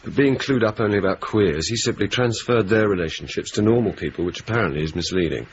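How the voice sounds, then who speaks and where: An actor as a dry, low-voiced young RAF officer. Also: male voice, close to an everyday voice. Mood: dryly amused. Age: young adult. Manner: dry, wry, understated, deliberate. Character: wry, laconic young male RAF officer, bookish. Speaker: actor and narrator in a radio play. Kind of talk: naturalistic 1980s radio drama acting. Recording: muffled, hissy old radio broadcast tape.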